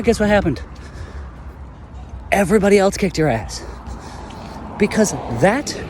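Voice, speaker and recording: A man acts out a cocky, earnest young-sounding hero for an audio drama. His voice sits on the high side and swings around a lot as he rambles emphatically in casual slang. There is some noise behind him. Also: darkish tone. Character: brash, stubborn, kind-hearted heroic man, earnest and cocky.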